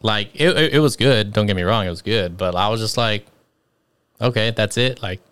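A man's voice: fairly low pitch, normal speed, fairly bright tone, smooth. A man co-hosting a podcast in casual chat, easygoing and hesitant, his sentences full of fillers and restarts. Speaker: podcast co-host.

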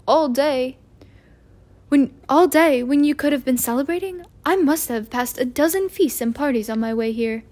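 A woman voicing a calm, gently amused old male wizard headmaster, in a mild, unhurried way. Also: high voice, natural voice. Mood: gentle.

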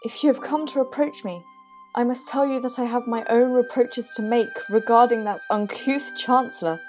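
A woman's voice: fairly high pitch, moderate pace, darkish tone, faint music behind, close to an everyday voice. An actress playing a proud, wounded French queen in a period audio drama, with a formal, regal manner. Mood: wounded, indignant.

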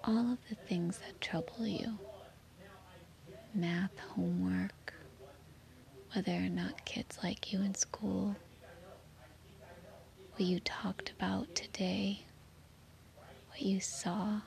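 A woman guiding a children's sleep meditation in a soothing, gently reassuring manner. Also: medium pitch, fairly even intonation, slow, gravelly, long pauses. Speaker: meditation guide.

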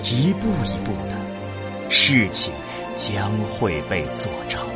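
A man narrating a science-fiction audio drama in a solemn, measured, dramatic way. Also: fairly low pitch, big pitch swings. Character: solemn storyteller of a cosmic tale. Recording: music behind the voice. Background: music.

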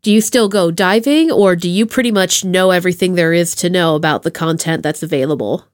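A woman's voice: on the low side, a little fast, no room sound, studio quality. A woman hosting a casual podcast interview in an enthusiastic, encouraging manner.

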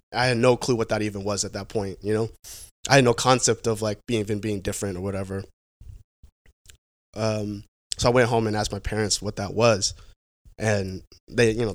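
Halting, low-voiced young man, dry sound. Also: no room sound. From Pacific Northwest, United States. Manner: halting, with frequent restarts and fillers. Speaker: guest. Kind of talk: casual conversational interview.

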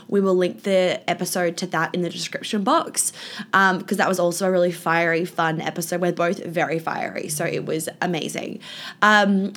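A woman with a husky, hoarse voice from laryngitis, hosting a podcast in a casual, candid chat and swearing freely. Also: on the low side, fairly bright tone. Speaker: podcast host and life coach. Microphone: video call.

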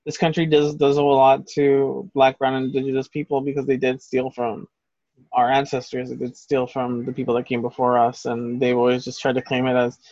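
A man's voice: medium pitch, monotone, a little fast, darkish tone, no echo, dull audio. A man co-hosting a podcast in casual chat, talking in a heated, profane, rambling way. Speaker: podcast co-host.

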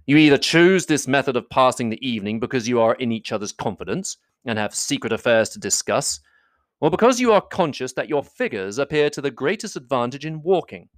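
A man playing a proud, reserved gentleman. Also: medium pitch, very animated, fairly quick, no room sound, studio quality, close to an everyday voice. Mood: cool.

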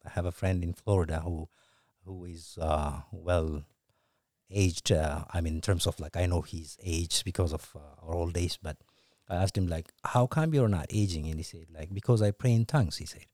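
Podcast guest: man from Ethiopia, 40s, very low voice, dry close sound, halting with fillers. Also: a little mellow, dry recording. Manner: hesitant, many fillers, laughs often. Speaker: podcast guest, church planter. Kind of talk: casual interview chat.

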